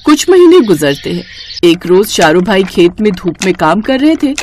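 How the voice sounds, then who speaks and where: A woman's voice: medium pitch, big pitch swings, normal speed, a little mellow, a little hiss or hum. A woman narrating a scripted story in storybook style.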